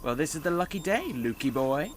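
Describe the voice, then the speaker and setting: A man's voice: on the high side, a little fast, a little hiss or hum, close to an everyday voice. A man playing a cheeky, flippant teenage schoolboy in an audio drama. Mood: mock-defensive. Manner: flippant, lively.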